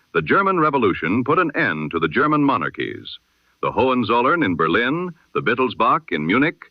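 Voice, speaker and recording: A man reading the news in the style of an old-time radio broadcast, measured and emphatic, with dramatic pauses before key lines. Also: medium pitch, no room sound. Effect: old radio sound. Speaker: newsreader. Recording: dull lo-fi old radio sound.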